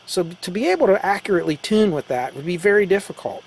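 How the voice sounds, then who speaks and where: A man's voice: high voice, animated, fast talker, gravelly, a little mellow, slight background noise, low-quality recording. A man giving a casual, conversational hands-on explanation, taking it step by step as a hobbyist presenter. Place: indoors beside radio equipment.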